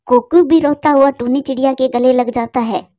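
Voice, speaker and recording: A woman narrates a scripted cartoon story in a high voice. The recording is close and dry, with no room sound. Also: fairly even intonation, normal speed, dark tone, dry recording.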